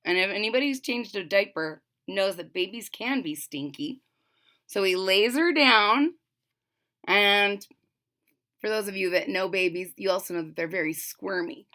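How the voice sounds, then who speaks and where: Bright, animated woman reading a children's story. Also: medium pitch, normal speed. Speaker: storybook reader. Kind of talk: children's read-aloud narration with explanations.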